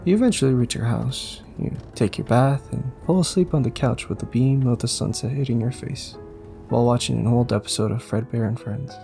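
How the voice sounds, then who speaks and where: A man's voice: medium pitch, fairly quick, gravelly, warm tone, faint music behind. A man narrating a scripted audio story in the second person, with faint ambience behind him. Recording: faint background noise and ambience.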